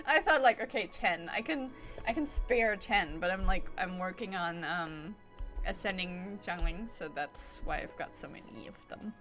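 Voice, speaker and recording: A woman's voice: fairly low pitch, lively intonation, a little slow. A woman game streamer giving casual, playful live commentary with silly little asides. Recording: game music behind the voice. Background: video game music.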